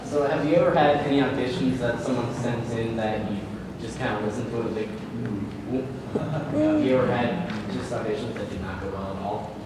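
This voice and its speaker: A man's voice: mid-range voice, expressive, a little fast. Distant man asking from the audience. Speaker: audience member asking a question. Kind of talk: casual question. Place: large indoor convention room. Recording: very echoey hall, far away, loud noise.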